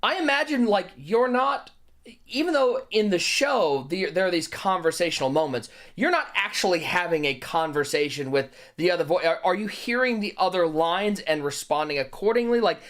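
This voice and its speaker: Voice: medium pitch, expressive, normal speed, fairly bright tone. A host in a casual interview, asking long questions with many restarts.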